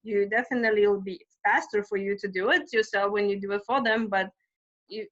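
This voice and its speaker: A woman's voice: medium pitch, fairly even intonation, quick pace, a bit bright, lo-fi sound. A woman from Lithuania with a Lithuanian accent, a stress management coach chatting as a podcast guest, talking freely with many fillers, restarts and small laughs. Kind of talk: casual conversational interview.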